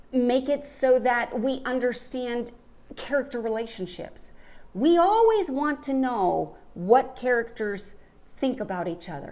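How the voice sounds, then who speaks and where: A woman's voice: a little high, darkish tone. A woman hosting a podcast, giving an emphatic lesson on storytelling. She asks questions and works through examples. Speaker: podcast host and writing teacher.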